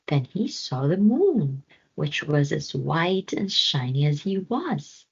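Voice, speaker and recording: A woman reading a children's story aloud with deliberate, expressive phrasing. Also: low-pitched, creaky, slightly rough, muffled recording. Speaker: storybook reader. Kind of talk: children's read-aloud narration.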